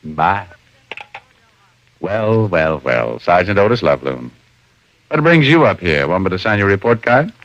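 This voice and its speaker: A man's voice: low-pitched, very animated, measured pace, long pauses, fairly bright tone, full character voice. A man playing a wisecracking, teasing private detective with glib, sardonic delivery in 1940s radio drama style. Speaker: lead actor. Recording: dull old radio recording.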